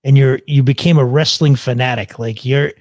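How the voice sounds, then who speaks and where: A man's voice: medium pitch, steady tone, normal speed, a little mellow, no room sound, clean studio sound. A man hosting a podcast, asking questions in a joking, teasing way. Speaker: podcast host. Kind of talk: casual interview chat.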